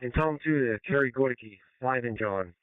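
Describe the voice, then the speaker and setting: A man's voice: mid-range voice, moderate pace, clean tone, no room sound. A man giving brief, directive instructions in police radio traffic over a two-way radio. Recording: noisy phone-like scanner radio with clicks.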